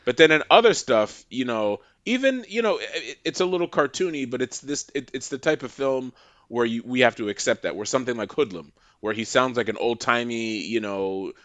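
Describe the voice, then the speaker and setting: A man's voice: medium pitch, animated, fairly quick, fairly bright tone, no room sound. A man from Massachusetts, a podcast guest, rambling with many restarts in casual chat.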